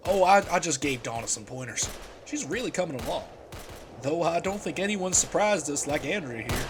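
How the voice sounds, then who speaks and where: A man acts out an earnest father in charge of a survivor camp in a comic dub. His voice sits quite high, and he talks firmly and reassuringly. There is clear background noise behind him. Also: expressive, normal speed, natural voice. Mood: encouraging. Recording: noticeable background ambience noise.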